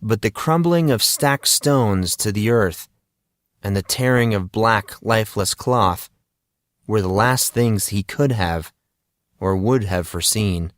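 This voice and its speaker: A man narrating a fantasy story as an audiobook, in a dramatic, deliberate and portentous manner. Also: lowish voice, expressive, no room sound.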